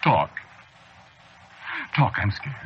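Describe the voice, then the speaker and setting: A man's voice: very slow, a little hiss or hum. Scared desperate man in an old 1940s radio play, begging, jumpy, dull muffled sound. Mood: frightened, pleading. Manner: pleading, jittery, repetitive. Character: frightened, desperate man losing his sanity. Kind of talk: 1940s radio drama acting.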